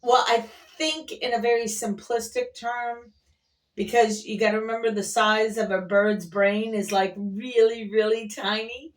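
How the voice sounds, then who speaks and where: Slow-talking, folksy woman, slightly distant mic. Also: a little high, fairly even intonation. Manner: chatty, meandering, folksy, playful. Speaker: vlogger. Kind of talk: casual rambling storytelling to camera. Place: at an airport workplace.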